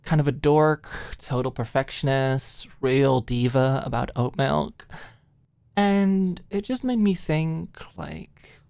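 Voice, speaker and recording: A woman's voice: low-pitched, takes it slowly, gravelly, warm tone, smooth, no room sound, clean studio sound, full character voice. A woman voicing a shameless, tipsy young woman who teases dryly, playful and mock-casual, in audiobook dialogue. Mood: teasing, coy.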